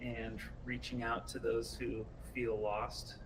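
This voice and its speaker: A man talking casually and thoughtfully as a game developer, with hesitant pauses. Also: mid-range voice, level tone. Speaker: game art director. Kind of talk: casual panel talk. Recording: distant mic with faint noise.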